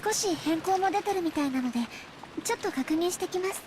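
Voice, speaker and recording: Very high-voiced, polite young anime maid. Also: female voice, lively intonation, very fast. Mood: polite, helpful. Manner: deferential, very polite speech. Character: young maid, gentle, polite, eager to serve. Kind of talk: anime visual novel voice acting. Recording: clear rain noise over the voice.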